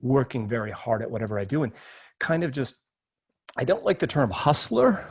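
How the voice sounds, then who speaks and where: A middle-aged man from Maine, a podcast guest telling personal stories casually and informally, often halting and repeating words. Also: mid-range voice, big pitch swings, slightly rough, no echo, studio quality. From Maine, United States.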